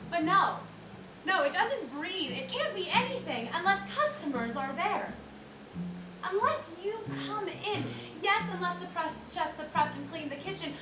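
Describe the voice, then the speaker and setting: A woman in her thirties performing a storytelling monologue on stage, laughing as she tells it and mimicking her customers. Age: 30s. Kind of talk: theatrical monologue. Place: theatre stage with live audience. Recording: roomy theatre sound from a distance. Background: upright bass, audience laughter.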